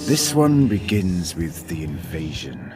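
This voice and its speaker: An actor playing a ruthless, grandiose scientist who resents being called a traitor, speaking slowly and with menace in an audio drama. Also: male voice, low-pitched, very animated, full character voice. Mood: menacing. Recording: music behind the voice.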